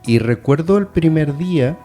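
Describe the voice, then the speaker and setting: A man's voice: on the high side, animated, normal speed, dark tone, soft background music. A middle-aged man hosting an educational radio chat, talking in a hesitant, thoughtful way with many fillers. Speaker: radio host and adult education teacher.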